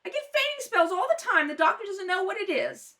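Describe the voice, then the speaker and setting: A woman's voice: very high voice, sing-song, a little fast, pushed and bright, exaggerated voice. A woman playing a melodramatic, aggrieved wife in an old-time radio comedy read-through, nagging, needling and wheedling. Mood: self-pitying, melodramatic. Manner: nagging, wheedling, dramatically self-pitying. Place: kitchen at home.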